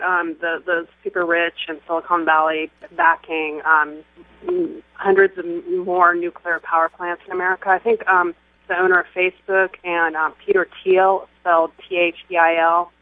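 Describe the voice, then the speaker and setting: A woman calls a radio show over a narrow, tinny phone line. Her voice is on the low side for a woman, and she asks her question haltingly, searching for words. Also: steady tone, no echo. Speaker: call-in listener. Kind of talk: hesitant spoken question on talk radio. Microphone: telephone.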